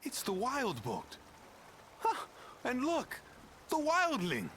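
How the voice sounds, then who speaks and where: A woman's voice: high-pitched, wildly swooping pitch, takes it slowly, fairly bright tone, a little hiss or hum, heavily acted. A woman voicing a haughty, guarded witch in a video game, with pointed delivery. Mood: scornful surprise.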